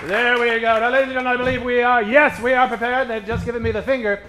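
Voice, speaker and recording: A man's voice: very high-pitched, flat delivery, quick pace, over a noisy crowd, very noisy. A man hosting a live comedy show from a stage, emceeing theatrically in a 1940s radio style and projecting like a showman. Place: stage in front of a live audience. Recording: echoey live stage sound.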